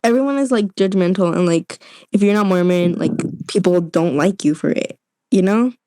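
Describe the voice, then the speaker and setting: A woman's voice: fairly low pitch, normal speed, mellow, no echo, clean studio sound. A young woman from Utah whose first language is Spanish, chatting casually on a podcast and filling her speech with "like". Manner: fills speech with "like". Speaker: podcast co-host. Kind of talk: casual chat.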